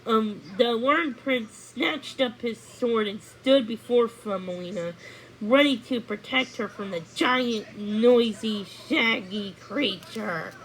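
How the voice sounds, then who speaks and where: Lady reading a fairy tale out loud, really slow, highish voice, slightly noisy recording. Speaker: storyteller. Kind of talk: read-along storybook narration.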